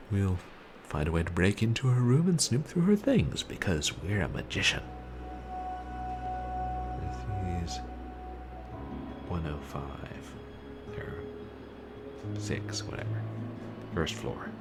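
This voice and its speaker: A man live-streaming a video game, talking calmly and softly, with a rambling, casual flow full of fillers. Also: on the low side, slow pace, pauses often, loud music behind the voice. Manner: soft-spoken, calm, rambling with fillers.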